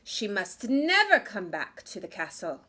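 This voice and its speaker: A woman's voice: medium pitch, huge pitch swings, pushed and bright, heavily acted. Woman putting on a proud, imperious evil queen. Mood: commanding, menacing. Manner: imperious, drawn-out, dramatic. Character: proud, vain, commanding evil queen stepmother. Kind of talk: children's storybook read-aloud.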